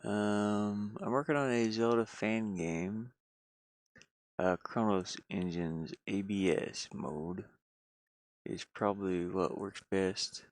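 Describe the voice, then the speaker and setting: A man talks off the cuff on a casual livestream, his voice full of creaky fry. He takes his time, muttering to himself and wandering between thoughts in folksy, colloquial phrasing. The sound is close and dry. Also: on the low side, slow, dry recording. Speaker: livestreaming gamer and hobby game developer living in a van. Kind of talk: casual unscripted livestream chat. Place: inside a van.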